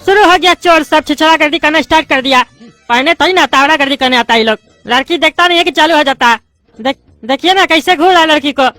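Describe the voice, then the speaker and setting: A voice actor doing a squeaky, touchy bald politician. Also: sharp tone, no echo, soft background music. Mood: scolding. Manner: indignant, rapid-fire arguing and lecturing. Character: bald loudmouth male politician student, touchy and argumentative. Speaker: adult student in a class.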